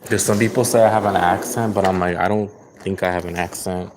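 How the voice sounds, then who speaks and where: A man's voice: sits low, steady tone, brisk, background noise. A man from California, a psychic reader, chatting loosely with viewers on a livestream and reacting to their comments as he reads them. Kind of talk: casual livestream chat answering viewer comments.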